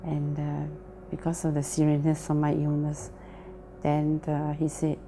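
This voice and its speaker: A woman's voice: low voice, completely flat delivery, a little slow, mellow, a little hiss or hum. A woman with a Malaysian English accent giving a plain, earnest personal testimony. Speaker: testimony speaker.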